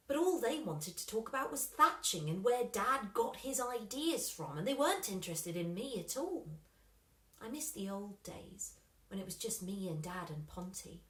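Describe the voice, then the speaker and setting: A woman reading a children's story expressively, with dramatic pauses and emphasis. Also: medium pitch, a bit bright. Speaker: story reader. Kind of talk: scripted children's story narration. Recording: roomy, echoing room sound.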